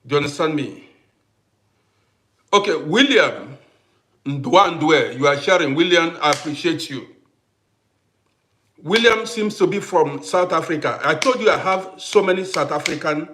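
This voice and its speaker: A man hosting a casual livestream who greets viewers by name, repeats himself, and swings between loud bursts and quiet asides. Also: on the high side, expressive, a little slow, long pauses, slight background noise. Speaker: livestream host.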